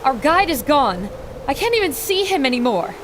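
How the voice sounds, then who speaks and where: Woman playing a high-voiced, plucky radio reporter. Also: sing-song, moderate pace. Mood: worried. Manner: earnest, descriptive, theatrical. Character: plucky, dramatic female radio reporter on an expedition. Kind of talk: old-time radio adventure acting. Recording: loud storm and ambient noise.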